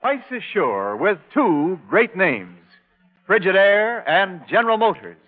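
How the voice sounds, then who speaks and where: A male announcer delivers a 1940s radio show opening. His voice is high-pitched, over prominent music. The old recording is thin and phone-like. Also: sing-song, measured pace.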